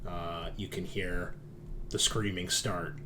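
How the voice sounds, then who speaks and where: Low-voiced man running a tabletop game. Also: level tone, slow, noisy background. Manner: conversational, addresses players directly. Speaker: game master. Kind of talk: narrating a tabletop roleplaying game.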